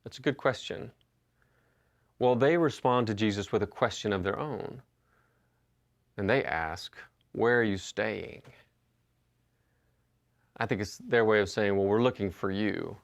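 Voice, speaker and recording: A man, telling stories in a relaxed, conversational sermon. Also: lowish voice, a little slow, vocal fry, pauses often. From Western North Carolina. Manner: conversational storytelling with frequent uh and restarts. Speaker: pastor. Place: church sanctuary.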